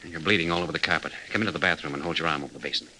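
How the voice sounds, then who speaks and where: An actor playing a level-headed American adventurer and leader, clipped and commanding in a 1940s radio drama. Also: male voice, rumbling low, steady tone, very fast, bright, forceful tone, heavily acted. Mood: calm command. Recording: noisy old 1940s radio recording.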